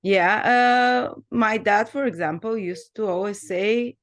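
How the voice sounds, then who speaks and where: A woman's voice: medium pitch, slow. A woman from Bulgaria with a Bulgarian accent, hosting a casual conversation and laughing often, with hesitant restarts. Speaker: host.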